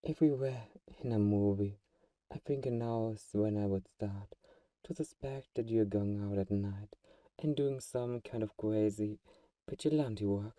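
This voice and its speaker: A man playing a doting but secretly manipulative vampire friend in an ASMR roleplay, soft-spoken and fussing, with a mellow, dark tone. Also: lowish voice, measured pace, no echo, distant mic, lightly acted. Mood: fussing, concerned.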